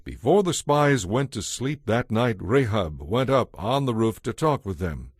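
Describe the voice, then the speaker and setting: A man giving measured, solemn scripted narration of an audio Bible. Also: medium pitch, big pitch swings, no echo.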